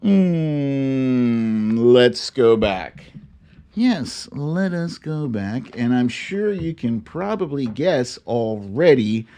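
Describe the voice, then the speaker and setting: A man hosting a live online storytime show, switching between theatrical storybook reading and casual chat, with an exaggerated, mocking, drawn-out delivery. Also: medium pitch, darkish tone.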